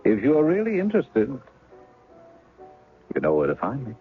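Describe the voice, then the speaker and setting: An actor playing a rueful, blocked novelist with a wry, self-mocking manner. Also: male voice, mid-range voice, wildly swooping pitch, moderate pace. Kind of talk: 1970s radio drama acting. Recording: narrow 1970s radio broadcast, faint music.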